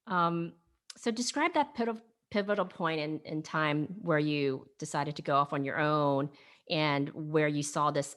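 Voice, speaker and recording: A woman with a low-pitched voice works as an interview host. She speaks in the manner of a presenter, moving between introductions and questions for a guest. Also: fairly bright tone.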